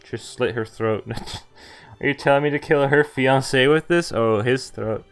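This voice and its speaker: A man's voice: medium pitch, measured pace, soft background music. A man streaming a visual novel, reading it aloud with casual commentary and some stumbles, amused asides mixed in. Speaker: game streamer.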